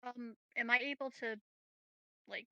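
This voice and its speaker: A woman's voice: fairly high pitch, fairly even intonation, a little slow, bright, forceful tone, clean tone, no room sound, highs cut off. A woman chatting casually as a tabletop game player, with a wry, joking manner.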